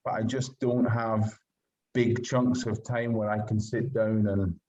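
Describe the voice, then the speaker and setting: A man's voice: on the low side, little pitch movement, a little slow, dark tone. A middle-aged man with a Scottish accent, answering casually as a writer being interviewed, heard through lo-fi call audio. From Glasgow, Scotland.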